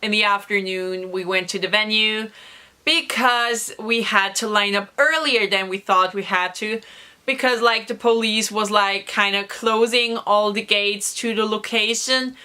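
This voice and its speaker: A young woman vlogger with a hoarse voice from a recent cough, chatting through an excited story with many fillers. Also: mid-range voice, measured pace. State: hoarse, recovering from lost voice. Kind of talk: casual storytelling vlog.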